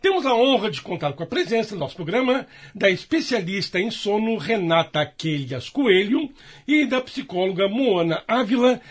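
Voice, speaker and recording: A man's voice: sits high, slightly rough. A man, hosting a live broadcast interview. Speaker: radio programme host.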